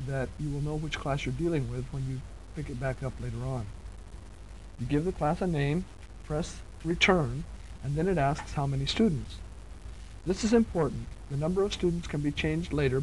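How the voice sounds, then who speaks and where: Male instructional narrator, slightly high voice, methodical step-by-step delivery, faintly noisy vintage 1970s cassette sound.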